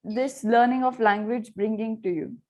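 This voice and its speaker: A woman with a fairly high voice leads an English practice session over a video call. She asks questions calmly and keeps encouraging her student. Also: normal speed. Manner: encouraging, repeats "okay, very well". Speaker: English tutor.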